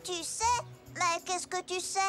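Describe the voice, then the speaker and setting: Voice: very high-pitched, monotone, measured pace, exaggerated voice. An actor voicing a clumsy, giggly small zebra child in a French children's cartoon, with a goofy childlike manner. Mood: curious, delighted. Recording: loud music behind the voice. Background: children's cartoon music.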